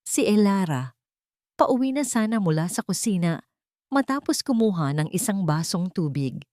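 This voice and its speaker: A woman with a Filipino accent narrating a romance story in a measured, dramatic storytelling manner. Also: mid-range voice, animated, a little mellow, no echo, clean studio sound.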